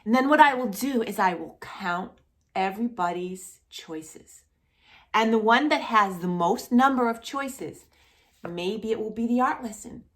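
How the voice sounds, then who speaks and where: A woman's voice: fairly high pitch, animated, unhurried. A woman hosting a children's storytime, speaking directly to young children in an encouraging, sing-song way with lots of little questions. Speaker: host of a children's storytime channel.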